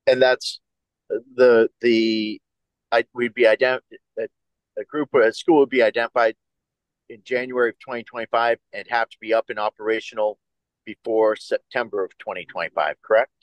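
A man representing a school district, asking halting questions on a video call. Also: mid-range voice, steady tone, no room sound, rough recording. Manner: halting, restarting sentences.

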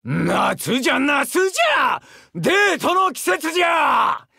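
An actor playing a brash, warm-hearted young seaman in a Japanese mobile game, with a southern Japanese dialect and a husky, gravelly, boisterous delivery. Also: very high voice, big pitch swings, takes it slowly, dry recording, clean studio sound, full character voice. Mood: excited, boisterous. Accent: southern Japanese dialect, Kagoshima-like. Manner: boisterous, rustic, swinging from bashful murmurs to hearty shouts. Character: brash, warm-hearted young muscular seaman, eager big-brother type.

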